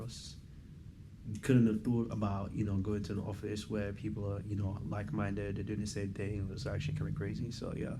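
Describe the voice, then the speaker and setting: A young man as an interview guest, talking in a laid-back, rambling way, full of "like" and "you know". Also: lowish voice. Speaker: guest, program member. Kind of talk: casual interview testimonial. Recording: clear background noise.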